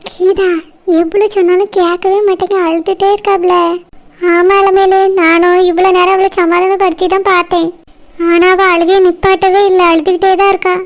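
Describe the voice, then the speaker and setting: A woman voicing a chatty village cartoon story, taking several roles in a lively way. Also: extremely high pitch, normal speed. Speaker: cartoon narrator and voice actor.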